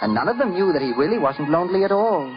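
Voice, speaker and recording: A man playing a tender, theatrical storyteller, narrating a scripted 1950s radio drama in a deliberate, expressive way. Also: high voice. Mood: sombre, gentle. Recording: dull 1950s radio recording. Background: music.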